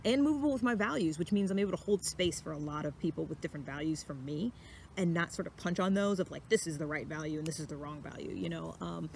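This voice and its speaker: A woman's voice: deep voice, fast. A young adult woman talking casually and reflectively to camera as a vlog host, explaining her thoughts in a conversational way. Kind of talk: casual talk to camera about personality types. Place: outdoors in woods. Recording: clear steady outdoor background noise.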